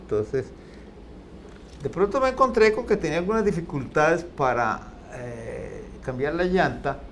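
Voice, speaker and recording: An older man, chatting on a panel with many pauses and restarts. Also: fairly high pitch, lively intonation, slight background noise. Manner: hesitant, many restarts and fillers. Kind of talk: casual chat.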